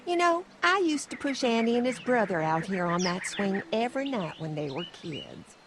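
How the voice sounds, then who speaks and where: A woman's voice: medium pitch, sing-song, moderate pace, noisy background, lightly acted. Woman playing a genteel older mother, birdsong behind. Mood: wistful. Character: sweet, genteel older Southern mother of grown sons. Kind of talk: video game voice acting.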